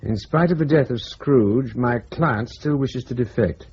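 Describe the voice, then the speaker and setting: An actor playing a brisk, wry, exasperated intelligence officer in a radio drama. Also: male voice, mid-range voice, a little mellow, close to an everyday voice. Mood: reading aloud. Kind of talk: broadcast radio drama acting. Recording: muffled radio recording, faint hiss.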